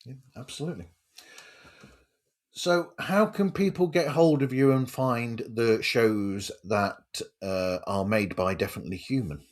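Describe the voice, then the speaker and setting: A man's voice: mid-range voice, measured pace. A man hosting a podcast interview in a casual, conversational style.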